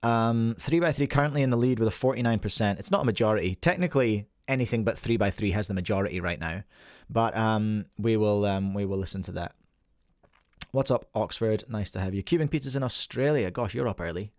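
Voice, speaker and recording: A man's voice: fairly low pitch, brisk, darkish tone, no echo, clean studio sound. A Scottish man in his forties hosting a casual livestream podcast, rambling and chatting with viewers by name. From Scotland. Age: 40s. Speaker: podcast host.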